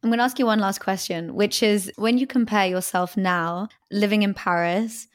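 A woman's voice: mid-range voice, steady tone, moderate pace. A woman hosting a podcast who asks long, winding interview questions full of hedging fillers. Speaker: podcast host.

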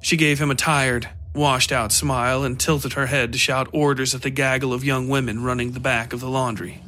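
A man narrating scripted dark fiction for a podcast. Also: mid-range voice, level tone, brisk, faint music behind.

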